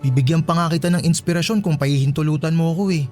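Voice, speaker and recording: Guy acting as pleading filipino teen boy in love, high male voice, radio drama, soft music behind. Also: quick pace, close to an everyday voice. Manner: pleading, earnest. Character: lovestruck, persistent, pleading teenage suitor.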